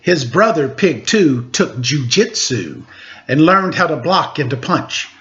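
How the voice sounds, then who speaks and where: A man's voice: on the high side, very animated, a little slow, a bit bright. A middle-aged man chatting casually and reading stories aloud to children in a playful, jokey manner. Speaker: storytime host reading picture books. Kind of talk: casual chat and read-aloud storytelling to children. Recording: dull, lo-fi sound.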